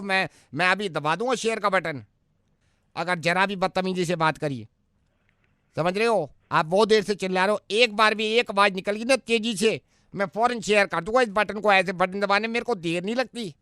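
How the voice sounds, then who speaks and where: A man puts on a high, clear-voiced young guy for a radio prank call. He is cheeky and overfamiliar, needling and bossing like a pest, in a close dry sound. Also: sharp tone, no room sound. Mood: bossy, threatening. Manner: needling, bossy, falsely familiar. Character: young man, cheeky acquaintance pestering and threatening a woman.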